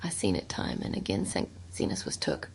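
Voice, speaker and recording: A woman's voice: rumbling low, big pitch swings, pitched below the performer's own voice, heavily acted. Woman putting on a crackling, dying old farmer man. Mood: fading dread. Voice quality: breathy, crackling whispers and croaks. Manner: broken, halting fragments in rustic dialect. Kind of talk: dramatic horror audiobook reading.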